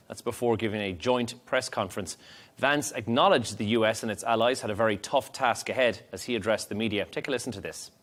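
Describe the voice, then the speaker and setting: A man presenting television news and interviewing guests as a studio anchor. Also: medium pitch. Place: broadcast TV studio.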